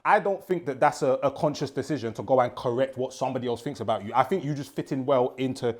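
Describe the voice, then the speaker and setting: Panelist, male, London accent, mid pitch, rattles through his reasoning. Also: quick pace. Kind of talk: heated group discussion.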